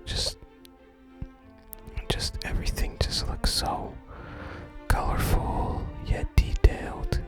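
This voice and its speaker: A person whispering soft, unhurried ASMR commentary while playing a video game and reading out its text. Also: music in the background.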